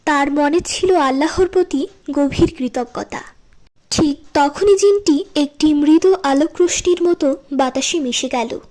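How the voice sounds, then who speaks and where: A woman's voice: high-pitched, measured pace, warm tone. A woman narrating a scripted moral story for a cartoon. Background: music.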